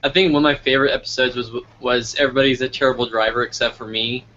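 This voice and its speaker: A man's voice: mid-range voice, fairly even intonation, very fast, sharp tone. A man from New Mexico hosting a casual interview, chatting and putting questions to his guest. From New Mexico, United States. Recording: muffled lo-fi sound with background noise.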